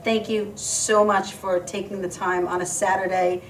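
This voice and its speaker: A woman hosts a live web talk show, gushing and warm in her words and often halting and restarting her sentences. Her voice sits at a middling pitch. The mic is some distance away, with faint noise behind her. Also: flat delivery. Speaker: host.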